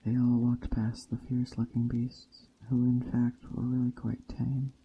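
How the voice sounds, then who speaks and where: A man reading a story aloud in a soft ASMR style, slowly and deliberately, in a hushed bedtime storytelling manner. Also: mid-range voice, monotone, a little hiss or hum. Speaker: storyteller, narrator. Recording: dull muffled sound, faint background ambience. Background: soft nature ambience.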